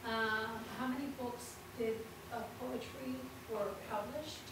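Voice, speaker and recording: A woman with a slightly high voice puts a hesitant question from the audience. She is far from the mic in a very echoey space, with clear noise behind her. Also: steady tone, slow pace. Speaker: audience member asking questions. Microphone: distant camera mic. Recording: very echoey room, distant mic, noisy.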